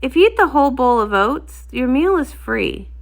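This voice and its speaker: Jovial pony guard for a storybook, played by a woman, hearty, persuasive, higher-pitched and animated. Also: normal speed, vocal fry, heavily acted. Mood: jovial, coaxing.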